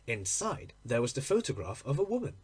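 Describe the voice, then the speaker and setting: A man reading a literary story aloud in an expressive, measured, deliberate narrating style. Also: medium pitch, husky. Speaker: audiobook reader.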